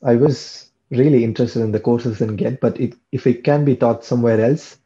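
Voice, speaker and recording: A man's voice: medium pitch, fairly even intonation, moderate pace, mellow, lo-fi sound. A young man from India, chatting in an interview about his studies, often repeating words as he thinks. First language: Tamil. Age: young adult. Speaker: guest, PhD student. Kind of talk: casual interview chat.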